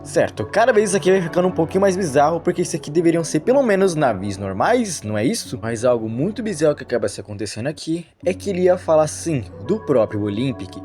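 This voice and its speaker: Fast-talking Brazilian man, music behind. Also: on the high side, big pitch swings, darkish tone. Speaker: narrator of a horror video series. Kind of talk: informal storytelling recap with commentary. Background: background music.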